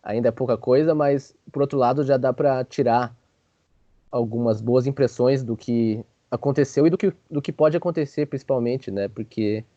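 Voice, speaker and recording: A man's voice: mid-range voice, normal speed, dark tone, dull audio. A man hosting a sports show with casual, joking basketball commentary full of informal filler words.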